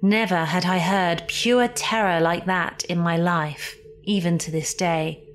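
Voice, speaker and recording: A woman reading a scripted ghost story as a horror podcast narrator, theatrical and suspenseful, with deliberate emphasis. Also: fairly low pitch, fairly even intonation, a little hiss or hum. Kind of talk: scripted dramatic spooky narration. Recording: music behind the voice.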